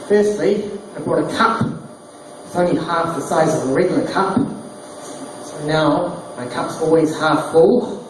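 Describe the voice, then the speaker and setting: A man doing stand-up one-liners in a deadpan delivery. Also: fairly high pitch, a little slow. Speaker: stand-up comedian. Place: small bar stage, roomy and echoey. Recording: echoey distant bar recording, noisy. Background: small crowd laughing, bar noise.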